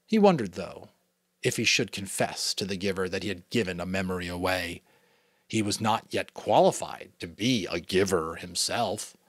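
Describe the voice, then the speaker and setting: A man from New England reading a novel aloud as a father, in a dramatic, expressive narration style. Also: fairly low pitch, no room sound, clean studio sound. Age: adult, a father. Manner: expressive, varied delivery. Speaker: audiobook reader.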